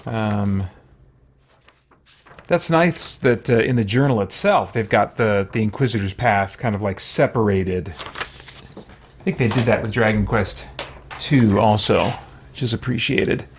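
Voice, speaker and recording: A man's voice: fairly low pitch, unhurried, pauses often, a little hiss or hum. Casual rambling male game streamer. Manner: rambling, thinking aloud. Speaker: gaming streamer. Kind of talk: casual playthrough commentary. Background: quiet music.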